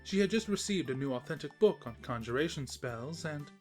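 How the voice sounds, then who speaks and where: A woman with a low voice narrates a comic story. Her intonation is lively and wry, and music plays behind her. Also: a little fast. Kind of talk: scripted comedic storybook narration.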